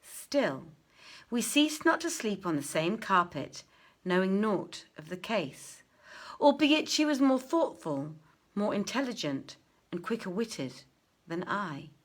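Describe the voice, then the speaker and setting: A woman with a mid-pitched voice reads archaic literary prose aloud. She phrases deliberately and leaves dramatic pauses, in the expressive manner of a storyteller.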